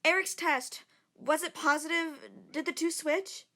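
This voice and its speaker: A woman voicing an anxious young woman passenger in an audio drama. Her voice is high and slow, and she sounds anxious and accusing. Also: sharp tone. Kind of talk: amateur audio drama acting.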